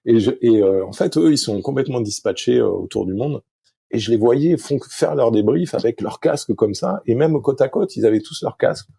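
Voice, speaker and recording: A middle-aged French-speaking man, a sailing coach as podcast guest, talking slowly with many fillers and restarts. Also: medium pitch, expressive, creaky, mellow, slightly rough, dry recording. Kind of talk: podcast interview, thinking aloud.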